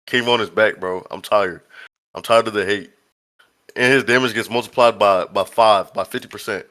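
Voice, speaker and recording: Lowish-voiced male developer hosting a chat Q&A, loose and slangy. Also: moderate pace, a bit bright. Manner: slangy, laughs often, says bro a lot. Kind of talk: casual voice-chat Q&A.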